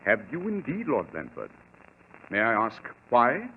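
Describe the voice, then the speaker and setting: An actor playing a brisk, assured gentleman detective with a British accent in a 1940s radio drama, speaking crisply and formally. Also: medium pitch, wildly swooping pitch, slight background noise. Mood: cool, probing. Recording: muffled old radio recording, faint music.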